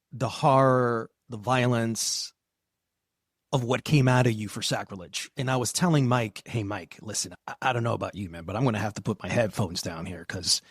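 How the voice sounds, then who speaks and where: A man's voice: mid-range voice, a bit bright, slightly rough, no room sound, studio quality. A man hosting a podcast, asking questions in a casual, candid way and swearing freely. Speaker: podcast host. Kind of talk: casual interview chat.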